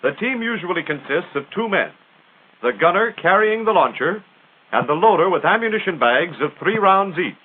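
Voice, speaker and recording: Tinny vintage film audio, American man, slightly husky voice, 1940s training film narration, emphatic, instructional. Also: high voice. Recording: tinny narrow-band 1940s film soundtrack.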